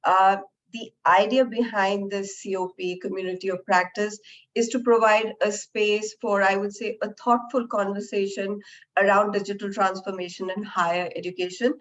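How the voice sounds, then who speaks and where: A woman moderating and opening a professional webinar, her voice lowered from a recent flu, speaking in a friendly way with frequent "uh" fillers. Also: level tone, moderate pace, muffled recording, slight background noise. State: recovering from flu, deeper voice.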